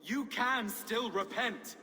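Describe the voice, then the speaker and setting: An actor playing a devout, horrified cleric in a video game, earnest and pleading. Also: male voice, very high-pitched, slow, bright, forceful tone, full character voice. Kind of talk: dramatic video game acting. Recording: long reverb, faint background noise.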